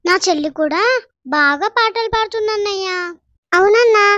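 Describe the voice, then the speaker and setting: Woman playing an innocent high-pitched poor child. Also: moderate pace, fairly bright tone, no room sound, lo-fi sound. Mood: eager. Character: poor young village children, eager, innocent, plaintive. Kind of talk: dramatised moral story acting.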